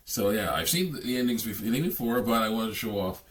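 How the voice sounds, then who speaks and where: Male reaction host, lowish voice, casual exasperated commentary, circles back on his points. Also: animated, brisk. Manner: rambling, repetitive, exasperated critique. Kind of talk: casual game cutscene commentary.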